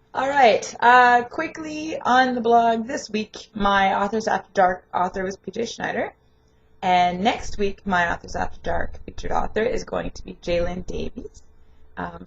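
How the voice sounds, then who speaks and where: A young woman with a lingering hoarse voice, chatting casually and rambling in a home vlog, laughing often. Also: medium pitch, highs cut off. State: hoarse, voice not fully recovered. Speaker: vlogger and home daycare provider. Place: her home, indoors.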